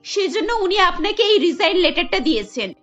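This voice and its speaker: Woman as shrill, formal cartoon secretary. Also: sing-song, moderate pace, a bit bright, faint music behind, heavily acted. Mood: formal, emphatic. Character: brisk female office secretary, formal, blunt. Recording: dull lo-fi sound.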